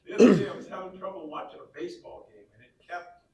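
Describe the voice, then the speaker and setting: A man with a high-pitched, mellow voice speaks up casually from an audience. He talks conversationally as he puts a question. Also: fast talker. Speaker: audience member asking a question.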